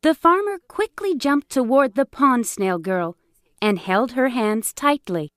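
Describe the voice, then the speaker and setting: A woman narrating a scripted children's fairytale as an expressive storyteller. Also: fairly high pitch, measured pace. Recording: music bed behind.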